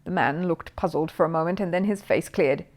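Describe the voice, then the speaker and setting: A woman's voice: lowish voice, brisk, darkish tone. A woman narrating a children's story expressively from a written text.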